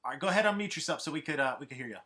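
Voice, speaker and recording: Bright, high-voiced male showcase host. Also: expressive. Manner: upbeat, brisk emcee. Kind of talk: live showcase hosting.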